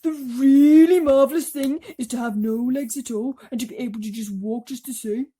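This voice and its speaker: A woman's voice: high voice, normal speed, warm tone, slightly rough, voice pushed up. A woman voicing a prim, indignant earthworm in a storybook reading. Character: prim, shrill, touchy earthworm.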